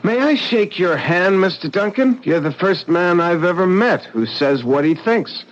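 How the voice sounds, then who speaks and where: An actor playing a sardonic, mocking painter in a vintage radio mystery. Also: male voice, high-pitched, animated, unhurried. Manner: mocking and sly, chuckling often. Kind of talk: vintage radio drama acting. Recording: dull old radio broadcast.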